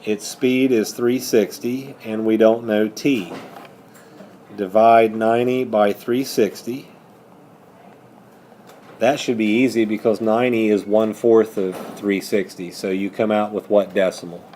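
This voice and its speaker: Slow-talking male math teacher, muted tone. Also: on the low side, fairly even intonation, mellow, slight background noise. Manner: asks questions and answers them himself. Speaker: high school math teacher. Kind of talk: step-by-step math lesson with questions and answers.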